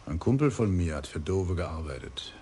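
A gravelly sly club doorman. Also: deep voice, very animated, normal speed, dark tone, slight background noise. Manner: chatty, gossipy. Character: sly male club doorman, old acquaintance of police. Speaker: nightclub doorman. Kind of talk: radio play acting.

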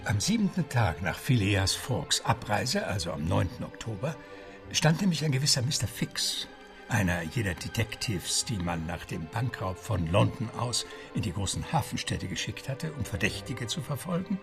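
Genteel low-voiced butler, lively storyteller. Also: male voice, normal speed, creaky, over music. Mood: wry, courteous. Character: James, a genteel English butler telling the tale. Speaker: narrator. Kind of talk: radio play narration.

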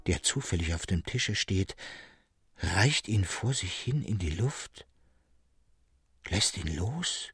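Male narrator for gothic literature: low, slow, breathy, solemn and theatrical, with suspenseful delivery over faint music. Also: animated, a bit bright, no room sound, clean studio sound.

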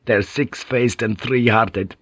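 A man voicing a boisterous, swaggering sea pilot who talks in a loud, jovial, profane way, on a dull cassette audiobook tape. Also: medium pitch, fairly even intonation, takes it slowly, no room sound.

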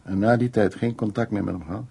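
An actor playing an older, frank and dominant man who reminisces intimately in short phrases, in a radio play with a husky, gravelly voice. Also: on the low side, fairly even intonation, fast, dark tone, full character voice. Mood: frank, probing.

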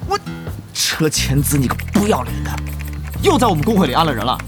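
A man's voice: a little high, big pitch swings, brisk, gravelly, over music. An actor playing an affable, scheming male guild leader in an audio drama, chummy, exclamatory and eager to please. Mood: taunting.

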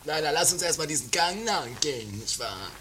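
A performer playing a pompous, fussy, excitable man who stammers, in an amateur comedy radio play. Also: medium pitch, normal speed, noisy background, lightly acted. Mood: fussy, sly.

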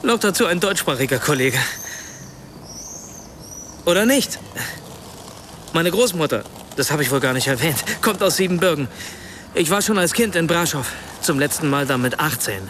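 An actor playing an earnest, self-doubting writer in a radio play, hesitant and searching for words. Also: male voice, fairly high pitch, animated, moderate pace, pauses often, fairly bright tone, a little hiss or hum. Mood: earnest, urging. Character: earnest, self-doubting German male writer, apologetic and probing. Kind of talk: naturalistic radio play dialogue.

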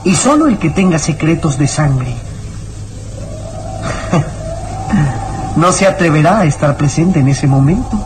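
A man playing a defiant, loyal boy who speaks deliberately and solemnly in an old radio drama. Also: a little high, animated, over music, rough recording. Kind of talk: old Latin American radio drama acting.

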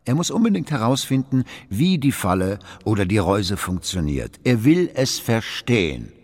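An actor in a radio play as a weary, brooding philosopher, terse and ponderous. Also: male voice, lowish voice, big pitch swings, normal speed, darkish tone, in a large hall. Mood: reflective. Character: brooding, weary foreign philosopher at a northern court.

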